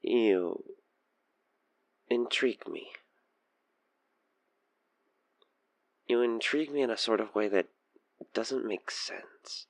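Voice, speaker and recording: Male actor as obsessed yandere killer, audio roleplay, smug, possessive, teasing, breathy near-whispers, unhurried, close dry sound. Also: on the low side, no echo. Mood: infatuated, intimate. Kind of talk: audio roleplay monologue spoken to the listener over a phone call.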